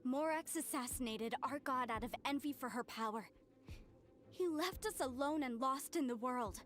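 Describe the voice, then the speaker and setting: A woman's voice: high-pitched, normal speed, lightly acted. An actress voicing an earnest young woman in a game, speaking formally and with passion. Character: earnest young woman, salt-trade descendant. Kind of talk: game voice acting. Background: game music.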